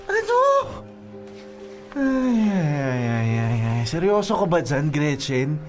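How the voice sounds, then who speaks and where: An actor playing an apologetic, exasperated young boyfriend in a Filipino radio drama, pleading in a whiny, colloquial way. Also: male voice, fairly high pitch, huge pitch swings, takes it slowly, darkish tone, soft background music, full character voice.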